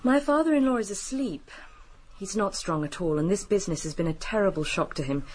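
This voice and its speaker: An actress playing a composed, genteel, guarded British widow in a radio drama. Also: female voice, mid-range voice, lively intonation, brisk, a little mellow, close to an everyday voice. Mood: composed, helpful.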